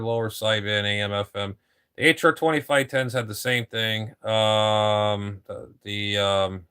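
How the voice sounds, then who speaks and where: A man's voice: fairly low pitch, sharp tone, smooth. A man in his fifties, a ham radio operator chatting casually on air, rambling and repeating himself, with casual swearing. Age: 50s.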